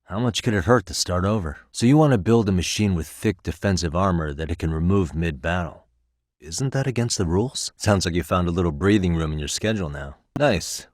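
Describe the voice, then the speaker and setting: A cool, principled investigator from a video game. The voice is very low-pitched and recorded dry and close, with no room sound. The delivery is casual and earnest, with an easygoing confidence. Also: male voice, expressive, a little fast, darkish tone, no room sound. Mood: warm, curious. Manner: casual, self-assured, earnest. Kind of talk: English video game voice acting.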